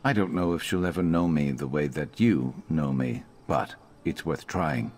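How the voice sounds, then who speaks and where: A man voicing a stoic, loyal reptilian warrior companion in a video game, measured and earnest. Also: rumbling low, a little mellow, a little hiss or hum. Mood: earnest, reflective.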